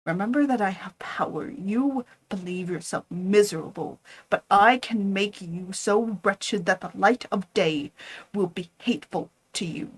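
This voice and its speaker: Woman doing a menacing male monster, miserable and vengeful, hushed then loud and commanding, amateur storybook reading. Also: medium pitch, lively intonation, moderate pace, natural voice, poor audio.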